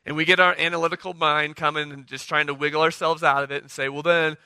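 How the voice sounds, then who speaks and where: A middle-aged man preaching a sermon, hoarse from the flu, talking conversationally and often checking in with the congregation. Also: a little high, level tone, quick pace, bright, forceful tone, dry recording. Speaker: pastor.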